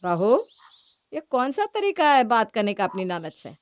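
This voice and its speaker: A woman's voice: on the high side, sing-song, measured pace, clear, resonant tone, no echo. A woman playing a disapproving, reproachful mother-in-law who protects her daughter in a cartoon family story. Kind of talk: Hindi cartoon story acting.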